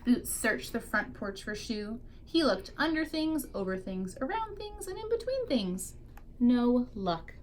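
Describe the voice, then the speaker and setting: A woman's voice: a little high, sing-song, measured pace, slight background noise. A woman teacher reading a picture book aloud expressively to young children, drawing out key words for emphasis.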